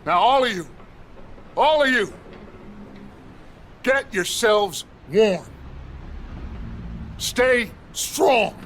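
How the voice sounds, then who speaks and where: A charismatic American outlaw leader in a cinematic western game. He speaks slowly and deliberately like an orator, fatherly and commanding, with a bright, forceful edge. Some background ambience sits behind him. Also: sits high, expressive, slight background noise, heavily acted. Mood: solemn, rallying. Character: commanding, charismatic male outlaw gang leader, middle-aged.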